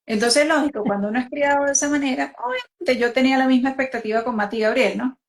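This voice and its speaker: A woman born in Venezuela hosting a talk, speaking reflectively and with measured restarts about raising her children. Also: sits high, a little hiss or hum. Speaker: host, mother. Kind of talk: conversational talk.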